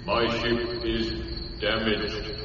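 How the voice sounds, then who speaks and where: Solemn, patient alien star traveler, lowish stilted voice through a translator, distant noisy radio sound. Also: male voice, flat delivery, pushed and bright, full character voice. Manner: stilted, formal, halting, without contractions. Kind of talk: radio drama acting. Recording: echoing, muffled, distant, noisy radio recording.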